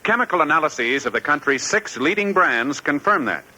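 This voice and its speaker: A man's voice: a little high, expressive, moderate pace, sharp tone. A man announcing on 1950s radio in a formal, emphatic manner. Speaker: radio show and commercial announcer. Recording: noisy old 1950s radio recording.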